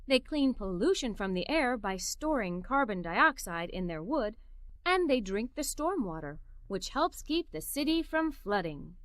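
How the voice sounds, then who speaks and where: A woman reading a children's story in an expressive, scripted narrating style. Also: high voice, dry recording. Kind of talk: scripted read-aloud story narration for kids.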